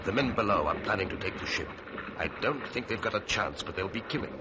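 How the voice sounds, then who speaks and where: Casting: male actor as a very low-voiced, composed prisoner, earnest and persuasive, old radio thriller with heavy background noise. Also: expressive, fast, close to an everyday voice. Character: calm, determined male prisoner, a gentlemanly accused murderer. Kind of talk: old-time radio drama acting. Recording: noisy, muffled vintage radio recording.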